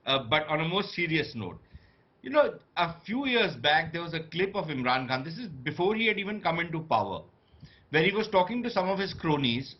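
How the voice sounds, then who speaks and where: A man's voice: fairly high pitch, dull audio. A man giving opinionated, sardonic commentary on a live TV news debate. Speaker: panel commentator.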